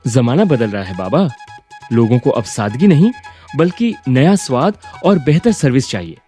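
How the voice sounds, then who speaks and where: A man plays an ambitious young graduate in a cartoon story, impatient and insistent. His pitch swings widely in a mellow, mid-range voice, with music behind. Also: sing-song, normal speed, lightly acted. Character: ambitious, impatient young business graduate, son of eatery owner. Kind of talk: Hindi cartoon story voice acting.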